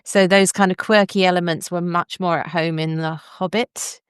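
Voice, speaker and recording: A woman's voice: on the low side, steady tone, moderate pace, clear, resonant tone, no room sound, studio quality. A woman hosting a literary discussion, speaking in an articulate, thoughtful, conversational way. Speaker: podcast host; novelist.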